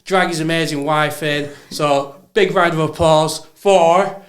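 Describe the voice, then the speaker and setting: A man's voice: a little high, fairly even intonation, unhurried, noisy background. A man hosting a podcast, chatting casually and upbeat, laughing often. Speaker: podcast host. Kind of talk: casual interview chat.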